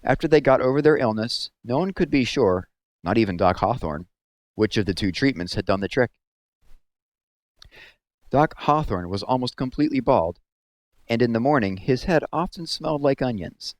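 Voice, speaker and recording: A man narrating a story aloud as a scripted read-aloud, with measured, expressive phrasing. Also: medium pitch, no room sound.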